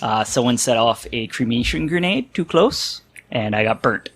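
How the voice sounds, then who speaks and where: A man's voice: medium pitch, animated, normal speed, a bit bright. A man chatting casually and jokingly as a player on a tabletop role-playing podcast, often poking fun at himself. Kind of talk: casual chat describing a game character.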